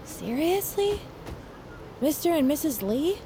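A competitive, snippy young woman in an audio drama. Her voice is high and swoops around, with clear outdoor traffic noise behind it. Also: very animated, takes it slowly, heavily acted. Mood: concerned. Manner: blunt, sarcastic, challenging. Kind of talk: naturalistic audio fiction acting.

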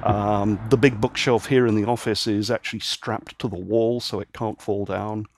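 A man's voice: on the low side, moderate pace, slight background noise. A man, from Northern Ireland and raised in England, talking easily and at length as a podcast guest from his home office. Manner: relaxed, easygoing, explains at length with fillers. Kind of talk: casual interview chat.

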